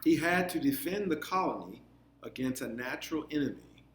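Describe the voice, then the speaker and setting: A man reading aloud to children, explaining as he goes with deliberate, drawn-out phrasing. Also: on the high side, animated. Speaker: read-aloud storyteller. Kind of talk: children's storybook read-aloud. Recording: echoey room, faint background noise.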